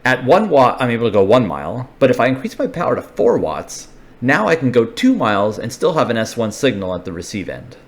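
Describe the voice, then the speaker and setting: An American man giving an informal, unscripted technical explanation, thinking aloud haltingly and checking in with short tags. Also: mid-range voice, very animated. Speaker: radio hobbyist explainer.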